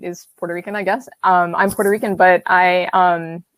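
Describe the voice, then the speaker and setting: A woman's voice: fairly low pitch, level tone, moderate pace, no echo. A woman in her thirties from the American Midwest, an author-illustrator chatting casually on a video call, rambling with lots of fillers and restarts. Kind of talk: casual conversation. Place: home studio room with brick wall. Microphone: video call webcam.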